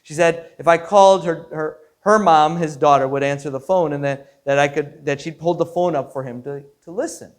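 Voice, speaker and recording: A man, a pastor giving a devotional story in an earnest, measured way, sometimes repeating words as he goes. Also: on the high side. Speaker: Lutheran pastor.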